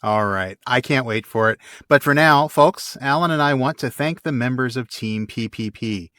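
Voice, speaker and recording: A man's voice: medium pitch, normal speed, fairly bright tone, no room sound, clean studio sound. A man co-hosting a podcast, talking casually and reading out sign-off notes. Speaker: podcast co-host. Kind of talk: casual banter and show sign-off.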